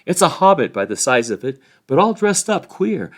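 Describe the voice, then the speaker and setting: A man voicing a suspicious country farmer in a fantasy storybook, calling out loudly in rustic phrasing. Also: on the high side, sing-song, moderate pace, pitched above the performer's own voice. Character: gruff, suspicious country farmer challenging a stranger.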